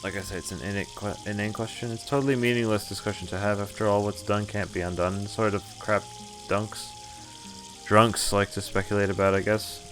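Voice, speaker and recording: A man's voice: fairly low pitch, steady tone, brisk. Young guy streamer reading out a visual novel, chatting casually in between, game music playing. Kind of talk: live visual novel read-aloud with casual asides.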